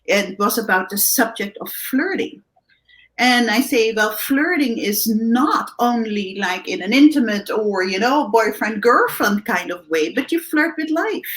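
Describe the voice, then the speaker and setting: Dutch-accented woman, animated and fairly high. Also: a little slow. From Netherlands. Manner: animated, thinks aloud with many restarts and "right?". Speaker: guest coach. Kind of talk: conversational interview answers.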